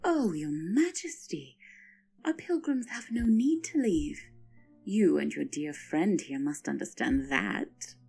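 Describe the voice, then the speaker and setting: A woman's voice: lowish voice, sing-song, slow pace, creaky, a little mellow, breathy edge, faint music behind, full character voice. A woman playing a smug, deferential griffin courtier in an audio roleplay, speaking with ceremonious politeness.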